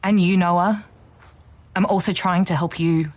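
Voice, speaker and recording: An actress playing an earnest, pushy young podcaster, insistent and persuasive, in audio drama. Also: female voice, on the low side, fairly even intonation, a little slow, background noise, natural voice. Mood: defensive, earnest. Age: young adult. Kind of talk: naturalistic audio drama acting.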